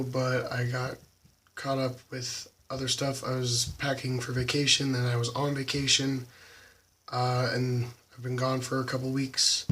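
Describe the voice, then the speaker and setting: A slightly hoarse young man casually talking through how he solves a puzzle cube, halting with lots of ums. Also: mid-range voice, far from the mic, a little hiss or hum. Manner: halting, many ums, soft and quiet. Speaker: YouTube cubing channel host. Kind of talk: casual tutorial walkthrough.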